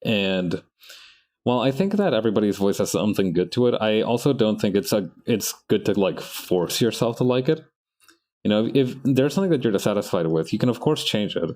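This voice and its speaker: Low-voiced man chatting while he draws. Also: expressive, a little fast, a little mellow. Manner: rambling, self-correcting, talks to himself as he works. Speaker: artist streaming his work. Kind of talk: casual live-stream chat while drawing.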